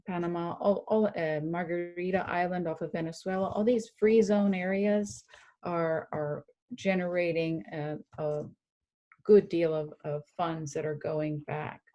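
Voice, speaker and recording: Woman expert on a panel, soft mellow tone, kinda low, halting delivery. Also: fairly even intonation, highs cut off. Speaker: regional security expert on a panel. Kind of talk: informal expert briefing.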